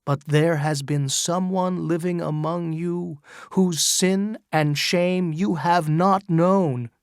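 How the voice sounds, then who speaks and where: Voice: medium pitch, takes it slowly, no room sound, clean studio sound, natural voice. A storyteller voicing a dying young male minister who speaks in a solemn, declaiming way. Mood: proclaiming.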